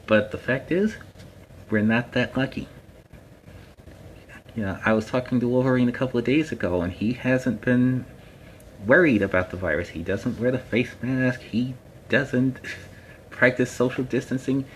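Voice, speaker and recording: A man's voice: medium pitch, normal speed, long pauses, slight background noise, natural voice, rough recording. A man playing a friendly superhero storytime host, talking casually and earnestly to viewers in a plainspoken, emphatic way. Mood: casual, amused. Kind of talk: casual instructive talk to viewers.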